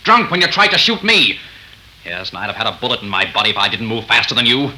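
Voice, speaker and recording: An actor playing a stern, accusing, level-headed rival in forceful 1930s radio horror style. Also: male voice, on the low side, expressive, fast talker. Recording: faintly noisy 1930s radio recording.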